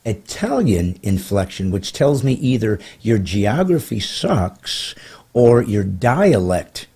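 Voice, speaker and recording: A middle-aged man with a husky voice runs his comedy podcast in a wisecracking, theatrical way. His pitch leaps around as he plays up each bit, and he drops into sarcastic asides. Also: sing-song, slow pace, a little mellow.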